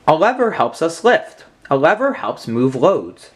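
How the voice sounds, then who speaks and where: A man's voice: fairly high pitch, big pitch swings, unhurried, breathy edge. A young man reading aloud and explaining simple science for children as a host.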